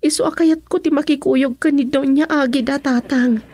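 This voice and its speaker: A woman's voice: high-pitched, steady tone, moderate pace, dark tone, close to an everyday voice. An actress playing a determined, anxious young woman in a radio drama, with a Filipino accent. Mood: anxious, insistent. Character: determined, anxious young woman doubting her boyfriend's faithfulness. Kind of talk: Ilocano radio drama acting.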